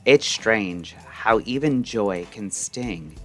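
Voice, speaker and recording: A man delivering a scripted, introspective late-night radio monologue in measured, deliberate short phrases. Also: fairly low pitch, animated, fairly bright tone. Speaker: narrator and host of a late-night radio style audio show. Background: music.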